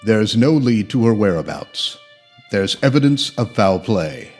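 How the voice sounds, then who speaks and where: Husky, deep, solemn radio announcer. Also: male voice, lively intonation, unhurried, a little mellow, soft background music. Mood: grave. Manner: grave, portentous, clipped. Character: solemn old-time radio crime show announcer.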